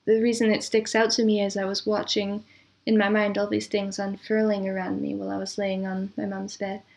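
A woman speaking as an interview guest in a conversational way. Her voice sits at a middling pitch with very little melodic movement. She is reflective, pausing as she explains things in careful detail. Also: completely flat delivery, creaky, darkish tone. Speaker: interview guest, composer and audio drama producer.

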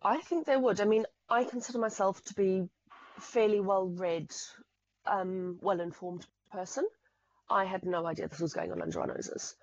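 A Zimbabwean woman speaking as a podcast guest, laying out figures earnestly and often restarting her sentences. Her voice sits at a middle pitch for a woman. The recording sounds slightly dull and muffled. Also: moderate pace, dry recording. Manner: earnest, fact-heavy, frequent restarts. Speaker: podcast guest, fraud specialist. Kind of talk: explanatory interview talk.

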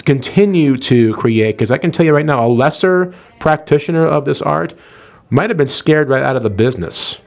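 A man's voice: fairly high pitch, expressive, normal speed, gravelly. A man speaking as a radio show host in casual conversation, effusive and emphatic, often adding "you know". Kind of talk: casual, conversational interview talk.

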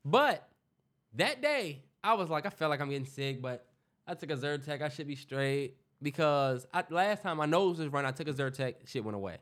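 A young man co-hosting a podcast, congested, telling stories in an animated, joking way and swearing freely. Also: a little high, normal speed. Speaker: podcast co-host. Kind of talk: casual storytelling chat.

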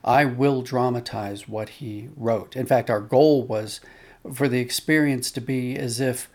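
Husky, gravelly-voiced man in interview. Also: mid-range voice, a little slow, darkish tone. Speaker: audio drama producer and writer, interview guest. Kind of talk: conversational interview, recounting anecdotes.

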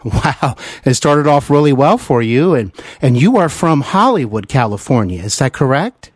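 A man's voice: mid-range voice, very animated, normal speed, breathy edge, no room sound, studio quality. An American man hosting a conversational interview, effusive and somewhat hesitant in his phrasing. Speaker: interview host.

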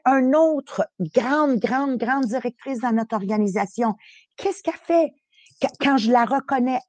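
A woman's voice: on the high side, darkish tone, no room sound. A woman with a Quebec French accent, giving a live motivational podcast talk in a heartfelt, emphatic way, repeating key phrases. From born in Italy. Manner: slips English phrases into French. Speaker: podcast host and motivational speaker.

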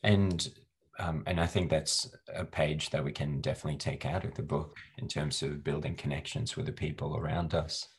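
A man with a very deep, husky voice leads an interview, asking questions and backing up his guest's answers. His tone is encouraging and conversational. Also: steady tone, normal speed. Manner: encouraging, affirming with short yeahs. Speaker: interview host.

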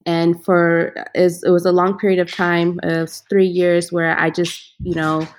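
A woman who grew up in Ethiopia, talking softly and reflectively as an interview guest about becoming a cook. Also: on the low side, flat delivery, a little slow. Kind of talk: interview guest, casual talk.

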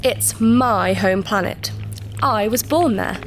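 An actress playing a proud, secretive alien princess in disguise, formal and precise, in a sci-fi audio drama. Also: female voice, mid-range voice, slow, faint music behind, natural voice. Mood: earnest, businesslike. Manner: formal, precise, earnest, easily affronted.

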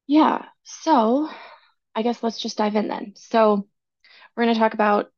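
A woman's voice: a little high, measured pace, lo-fi sound. A woman in her thirties hosting a casual podcast, chatty and enthusiastic, her voice still recovering from laryngitis. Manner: chatty, enthusiastic about organizing. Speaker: podcast host. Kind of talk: casual solo podcast talk.

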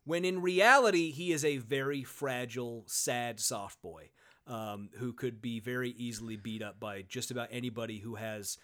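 A man's voice: mid-range voice, moderate pace, bright, forceful tone. A man hosting a casual comedy podcast, talking sarcastically, swearing freely and using lots of fillers. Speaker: podcast host.

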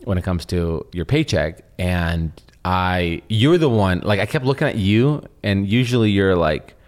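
Rambling young man, low voice. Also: animated, unhurried, a little mellow. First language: Russian. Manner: rambling, restarts often, many fillers. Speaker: podcast co-host. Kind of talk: casual chat.

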